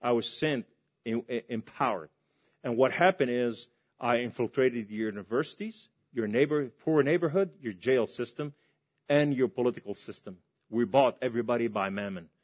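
A man from Lebanon preaching a testimony sermon to a large hall, impassioned and swinging between loud and hushed. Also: medium pitch, normal speed.

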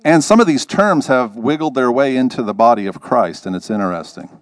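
Folksy pastor introducing a guest. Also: male voice, medium pitch, expressive, fairly quick, dry recording. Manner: conversational, folksy, asks the audience questions. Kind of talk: introducing a guest speaker from the pulpit.

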